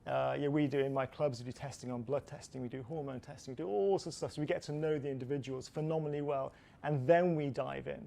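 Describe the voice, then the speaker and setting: A man in his fifties, a personal trainer explaining fitness in an energetic, motivational way. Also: mid-range voice, fast, vocal fry. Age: 50s. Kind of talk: expert explaining.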